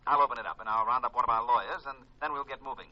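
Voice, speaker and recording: An actor playing a hearty, eager insurance man in a 1950s radio mystery, brisk and businesslike. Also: medium pitch, background noise, close to an everyday voice. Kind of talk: 1950s radio drama acting. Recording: muffled vintage radio telephone voice.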